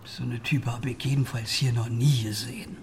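An old war widow. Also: female voice, rumbling low, fairly even intonation, moderate pace, darkish tone, a little hiss or hum. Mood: gossipy. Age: older. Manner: chatty, mothering, gossipy. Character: lonely old war-widow neighbour woman, motherly.

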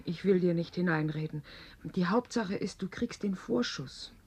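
An actress in a German radio drama playing a writer's devoted, practical partner. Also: female voice, fairly low pitch, moderate pace, a little mellow, slight background noise, close to an everyday voice. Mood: fond, practical.

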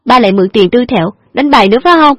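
A woman voicing a hot-tempered poor young woman who scolds her father in quick, shrill retorts. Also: a bit bright, no echo. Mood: angry scolding.

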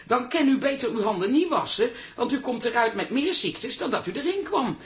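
An actress playing a pushy, chatty toilet attendant in a Dutch radio comedy, insistent and mock-polite. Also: female voice, fairly high pitch, sing-song, brisk. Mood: nagging. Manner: insinuating, mock-polite, nagging. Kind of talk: radio comedy drama acting.

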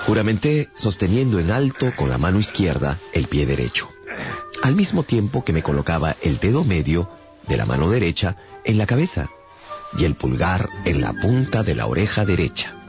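A man's voice: deep voice, normal speed. An actor playing an earnest, courteous traveler, a giant among tiny people, telling his adventures in a radio drama. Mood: storytelling. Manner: courteous, earnest, expressive storyteller. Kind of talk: storytelling narration in radio drama. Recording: dull sound with music behind.

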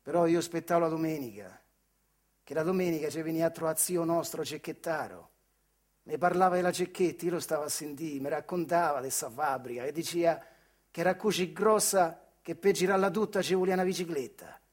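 Male actor playing wry working-class storyteller, high-pitched voice, Marche accent, earthy colloquial speech, radio theatre monologue. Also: a little fast, close to an everyday voice. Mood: nostalgic. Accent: Marche, central Italy. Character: Adriano, working-class old factory man telling his childhood memories.

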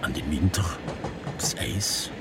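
An actor playing a brooding man on a train, speaking in slow, fragmentary phrases in a radio drama. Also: very deep voice, animated, gravelly, exaggerated voice. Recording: distant, loud rattling train carriage noise. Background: moving train.

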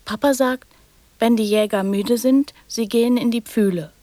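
A woman's voice: a little high, normal speed, mellow, no echo, close to an everyday voice. An actress playing a young girl in a radio drama, prattling and teasing in childlike fragments that often drop to whispers. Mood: childlike, eager.

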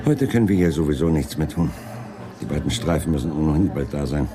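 Very deep-voiced shrewd police inspector. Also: male voice, fast. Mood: musing, authoritative. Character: seasoned male police chief inspector, shrewd, in command. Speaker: actor in a crime radio play. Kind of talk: 1980s German crime radio drama acting. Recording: studio radio play with music behind.

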